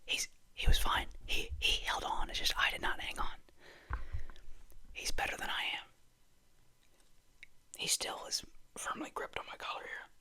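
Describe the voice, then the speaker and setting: An ASMR host talking casually and hesitantly in a soft, breathy, husky whisper, rambling in a chatty way. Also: a little slow, long pauses. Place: a room at home.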